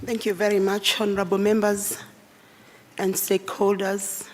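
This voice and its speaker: A hoarse woman, a bishop formally presenting herself and her submission at a hearing, soft and courteous. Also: medium pitch, monotone, normal speed, a little hiss or hum. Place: large hearing room. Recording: long hall reverb.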